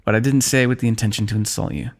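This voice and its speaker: A man voicing a kingdom's ruler who gently chides and teases a wounded companion while tending his injury, in an intimate fantasy roleplay. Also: lowish voice, steady tone, rapid-fire, slight background noise. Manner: gentle, chiding, teasing, close and intimate. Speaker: ASMR roleplay performer. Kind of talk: scripted fantasy ASMR roleplay.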